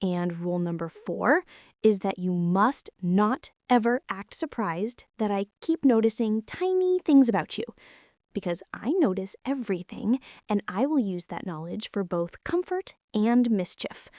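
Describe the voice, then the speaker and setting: A young woman playing a bossy, smitten college girl in an intimate girlfriend ASMR roleplay, teasing her boyfriend with breathy asides. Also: mid-range voice, expressive, a little slow, darkish tone, no echo, clean studio sound. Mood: soft, bossy, teasing.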